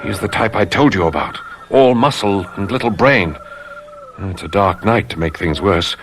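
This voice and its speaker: An actor in a radio drama playing a cautious, loyal physician, earnest and admonishing. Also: male voice, sits low, normal speed. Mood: resigned, wary. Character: cautious, loyal physician, reasoning with his friend.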